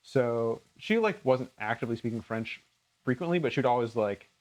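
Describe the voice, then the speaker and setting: A man's voice: medium pitch, lively intonation, moderate pace, darkish tone, low-quality recording. Earnest American man chatting casually. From rural Minnesota, United States. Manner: earnest, self-deprecating. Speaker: cooking channel host. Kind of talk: casual chat answering viewer questions.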